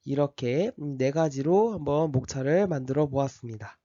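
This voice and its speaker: A man who teaches high school history and has a slight cold gives a step-by-step orientation lecture to his class, with frequent fillers and restarts. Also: normal speed, warm tone, dry recording, lo-fi sound.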